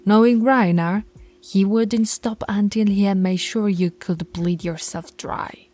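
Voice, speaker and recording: Woman voicing a female werewolf alpha, commanding, scolding, mellow dark tone, soft whispers, audio roleplay. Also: mid-range voice, fairly even intonation, a little slow, soft background music, natural voice. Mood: stern. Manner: bossy and scolding, dropping to soft whispers at times.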